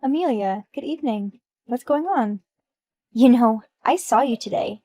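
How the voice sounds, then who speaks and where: A woman's voice: a little high, expressive, measured pace, dark tone, no echo. A woman voicing Amelia, a vain and jealous woman who speaks in a catty, mocking and pushy way. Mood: catty envy.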